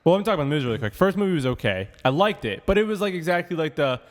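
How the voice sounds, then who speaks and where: A man's voice: on the high side, animated, rapid-fire, in a large hall. A young man co-hosting a casual podcast, joking and rambling with plenty of fillers. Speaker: podcast co-host. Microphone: studio microphone.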